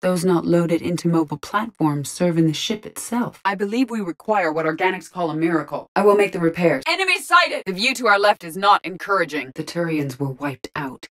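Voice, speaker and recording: An actress playing a composed, analytical female artificial intelligence in a video game, with precise, formal, measured diction. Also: on the low side, animated. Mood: calm, analytical. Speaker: game character, squad companion. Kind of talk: video game voice lines, sci-fi acting.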